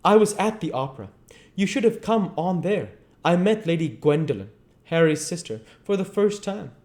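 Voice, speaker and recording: Guy doing a spoiled young gentleman, way up high, haughty and flippant, old novel vibe. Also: animated, moderate pace. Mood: bored, flippant. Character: vain, callous young aristocratic aesthete, bored and petulant.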